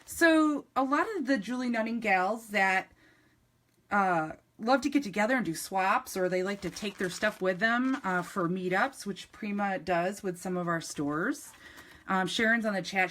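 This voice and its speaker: A woman's voice: medium pitch, a bit bright, a little hiss or hum. Chatty upstate New York woman. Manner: chatty, enthusiastic, many ums and restarts. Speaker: craft product demonstrator. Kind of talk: live-streamed craft demo.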